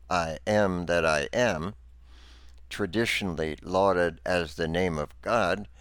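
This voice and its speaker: A man delivering scripted religious teaching narration and scripture readings in a formal, deliberate, instructive manner. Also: deep voice, a bit bright, no echo.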